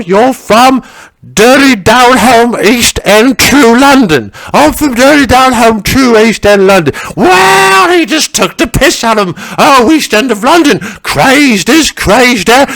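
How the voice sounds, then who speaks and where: A male comedian doing a nosy, crude old working-class wife who rambles on and on. The voice sits very high with a gravelly rasp. She keeps losing her thread and hollers at her husband and the dog. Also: heavily acted. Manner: rambling gossipy storytelling, shouting to husband and dog. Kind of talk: stand-up character monologue.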